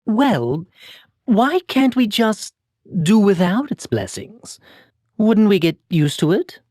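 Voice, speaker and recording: Troubled, halting young hero, animated pitch. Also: male voice, no room sound, clean studio sound, exaggerated voice. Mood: questioning, insistent. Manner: halting, hesitant, dramatic. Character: troubled young man with fragmented memories, earnest and doubting. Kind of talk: English video game voice acting.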